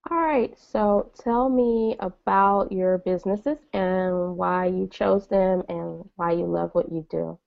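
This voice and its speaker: Woman interviewer, very slow speech, gravelly fry, muffled lo-fi sound. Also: fairly low pitch. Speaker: interview host. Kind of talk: casual interview questions. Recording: dull lo-fi audio.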